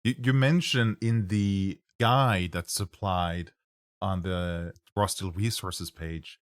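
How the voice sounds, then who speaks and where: A man with a Norwegian accent, a native Norwegian speaker, hosting a casual interview, with halting restarts. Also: low voice, no echo, studio quality. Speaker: podcast host.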